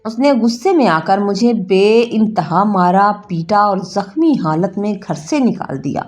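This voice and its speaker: Dark-toned woman narrating over music. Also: medium pitch, lively intonation. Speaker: story narrator. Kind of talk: scripted first-person narration of an emotional story. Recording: music bed behind narration. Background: background music.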